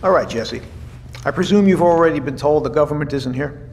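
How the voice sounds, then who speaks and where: An actor playing an exacting, fatherly navy captain in an audio drama, speaking in a formal, measured, matter-of-fact way. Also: on the high side, gravelly, a little mellow. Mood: authoritative, calm. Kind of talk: naturalistic audio drama dialogue. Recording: long hall reverb, faint noise.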